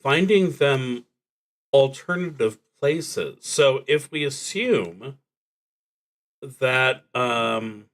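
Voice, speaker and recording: A man's voice: mid-range voice, expressive, extremely slow, a bit bright. A man narrating his solo roleplaying game unscripted, thinking aloud in a hesitant, reflective way. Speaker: streamer playing solo tabletop roleplaying games. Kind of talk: unscripted play-through commentary, thinking aloud.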